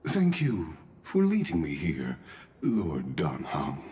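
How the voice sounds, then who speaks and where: A humble, learned retainer in a video game. His voice is low and unhurried, with expressive rises and falls, and he speaks with gentle, formal deference. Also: a little hiss or hum, full character voice. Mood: courteous, intrigued. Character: courteous, scholarly, humble male retainer with gentle temper. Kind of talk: video game character acting.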